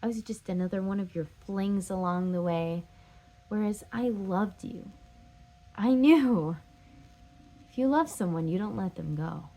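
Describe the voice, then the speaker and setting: A woman's voice: mid-range voice, a little slow, warm tone, low-quality recording. Woman acting a heartbroken, pleading jilted lover. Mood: hurt, insistent. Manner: emphatic, repetitive, pleading. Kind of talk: stage drama scene and monologue.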